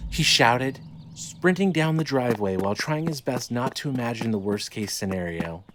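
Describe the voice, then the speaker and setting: A man reading scripted dramatic fiction as narrator, in a measured, descriptive storytelling manner. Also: mid-range voice, animated, background noise.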